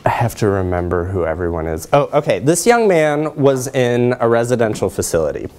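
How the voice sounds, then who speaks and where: A middle-aged man presenting research in a lecture room, explaining in a measured way with frequent pauses. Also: mid-range voice, sing-song, darkish tone. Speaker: presenter, social work researcher. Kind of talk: academic lecture with slides.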